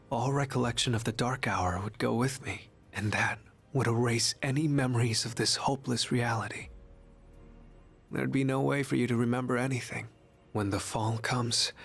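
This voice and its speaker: A gentle young harbinger of death for a game. His mid-pitched voice is soft and husky, with music behind it. He speaks with grave resignation and quiet pleading. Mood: solemn, persuading. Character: gentle, sorrowful young male harbinger of death in human form. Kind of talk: English video game voice acting.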